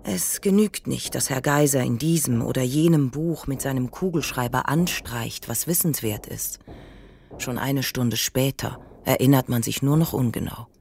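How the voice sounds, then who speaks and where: A woman performing as the sober narrator of a literary radio play, speaking in a measured, precise way. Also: very deep voice, creaky, darkish tone, slight background noise. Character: sober female narrator observing an ageing widower.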